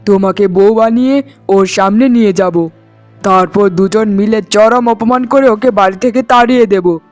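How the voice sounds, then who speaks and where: A man's voice: high-pitched, normal speed, dry recording, faint music behind, close to an everyday voice. A man voicing a cruel, hot-headed husband in a cartoon melodrama, with an emphatic, scolding and boastful manner. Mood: doting, scheming. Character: cruel, hot-headed husband, doting on his new bride.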